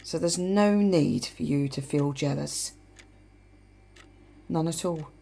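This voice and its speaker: A creaky, guilt-ridden widow. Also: female voice, low voice, warm tone, slight background noise, heavily acted. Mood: subdued, resigned. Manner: halting, reflective, bitter. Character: guilt-ridden widow confiding in her dead husband. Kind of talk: radio play monologue.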